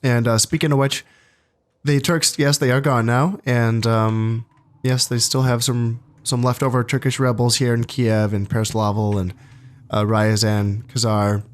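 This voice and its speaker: A man talks through his strategy game campaign with a stuffed-up, congested voice. He sounds a little low on energy, thinking aloud in a relaxed way at an ordinary, middling pitch. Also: a little slow. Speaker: gaming channel host. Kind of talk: casual strategy game commentary.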